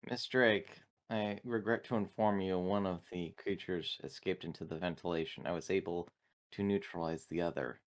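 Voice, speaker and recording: A man playing a courteous female synthetic crew member in a tabletop game, speaking in a formal, measured, clinical way. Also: low-pitched, steady tone. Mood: calm reporting.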